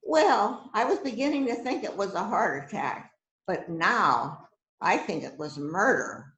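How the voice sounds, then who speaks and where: Shrewd detective boss, acted by a woman, slow delivery, swooping pitch, amateur mystery play, musing, self-assured. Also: lively intonation, fairly bright tone. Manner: deliberate, musing with frequent hmms. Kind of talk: amateur readers theater murder mystery. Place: indoors on a virtual event call. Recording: roomy echoing video call sound.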